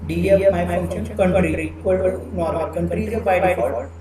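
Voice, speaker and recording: A man's voice: high voice, a crowd in the background. Man lecturing. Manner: halting, repeats "that is a", explains step by step. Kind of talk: recorded programming lecture. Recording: echoey room with background noise.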